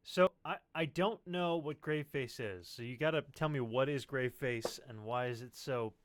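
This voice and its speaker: A man's voice: medium pitch, moderate pace, noisy background. A man hosting a casual chat, talking in a colloquial, conversational way. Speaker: podcast host.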